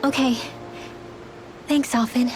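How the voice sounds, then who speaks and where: A woman playing a soft-hearted, troubled teenage girl in a fantasy video game, hesitant and introspective. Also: high-pitched, steady tone, takes it slowly, music in the background. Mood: hesitant, wondering. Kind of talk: video game cutscene dubbing.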